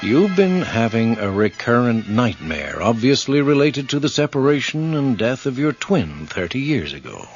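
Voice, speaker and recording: An actor in an audio drama playing a calm, analytical psychiatrist with a gravelly voice and a measured, explanatory manner. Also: male voice, medium pitch, muffled recording, faint music behind.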